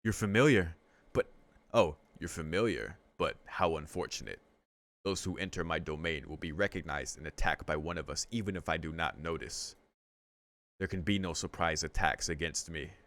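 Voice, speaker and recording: A man voicing a menacing male vampire lord made of beasts, speaking slowly, low and cold while reading a game aloud. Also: fairly bright tone. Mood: cold menace.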